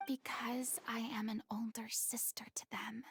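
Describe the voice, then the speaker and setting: A woman's voice: a little high, level tone, measured pace, a little hiss or hum, lightly acted. A woman playing an earnest, compassionate young investigator in a video game, speaking thoughtfully. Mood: somber.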